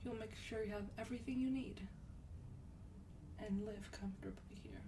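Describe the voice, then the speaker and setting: A woman playing a sweet, teasing vampire demon in a gentle, breathy, partly whispered ASMR roleplay. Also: medium pitch, fairly even intonation, lightly acted. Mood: gracious, polite. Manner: gentle, polite, unhurried, coaxing. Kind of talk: soft-spoken ASMR roleplay. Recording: distant mic, clear background noise.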